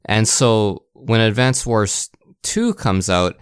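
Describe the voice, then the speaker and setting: A man's voice: on the low side, takes it slowly, smooth, no room sound, clean studio sound. A man co-hosting a podcast, casually discussing video games and often checking in as he makes his points. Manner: conversational, punctuates points with rhetorical check-ins. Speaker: podcast host.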